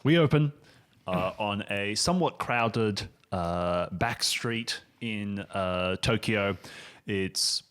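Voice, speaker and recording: A man talking casually as a game master, halting and emphatic as he thinks aloud and describes things. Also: lowish voice, fairly bright tone, clean tone. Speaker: show creator and game master. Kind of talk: casual chat and improvised game narration.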